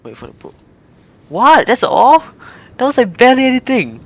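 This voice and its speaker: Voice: medium pitch, big pitch swings, moderate pace, gravelly, a bit bright. A streamer giving casual, giggly gameplay commentary and often exclaiming to themselves. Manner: exclaiming, giggly, self-talking. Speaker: streamer playing a game. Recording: faint game music behind. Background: game music and dialogue.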